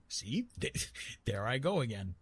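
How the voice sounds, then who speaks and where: A man acts out a retired love god in an intimate audio roleplay, murmuring in a very breathy, husky voice with crackly fry. He takes his time, pausing and correcting himself, tender and gently teasing as he reassures the listener. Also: mid-range voice, heavily acted. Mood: playfully flustered. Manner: gentle, reassuring, halting with pauses and self-corrections. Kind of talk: intimate ASMR roleplay, slow and halting.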